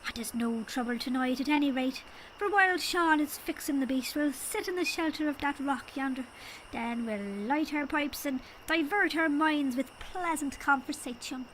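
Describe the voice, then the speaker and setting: A woman's voice: sits high, normal speed, a bit bright, a little hiss or hum, rough recording. A woman playing a genial, chatty leprechaun fairy king with an Irish accent, telling tales in an audio drama. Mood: courteous, reassuring. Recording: music underneath. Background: music.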